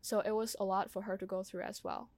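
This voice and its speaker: A woman's voice: mid-range voice, little pitch movement, fast, a little mellow, dry recording. Young woman chatting in a dry recording. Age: young adult. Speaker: conversation host sharing own experience. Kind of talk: casual personal conversation.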